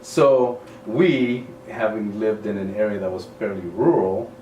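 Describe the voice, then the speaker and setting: A man in his forties, born in El Salvador, a high school principal talking in a casual interview in a measured, thoughtful way that trails off softly at the ends of thoughts. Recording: echoey room, mic at a distance, faint noise.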